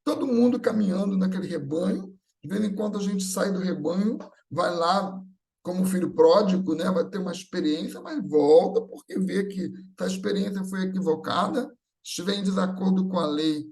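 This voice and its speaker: Brazilian male lay preacher, high voice, informal and explanatory, peppering the talk with rhetorical questions. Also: moderate pace, vocal fry, a little mellow. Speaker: speaker at a Spiritist gathering. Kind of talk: religious talk, conversational and explanatory.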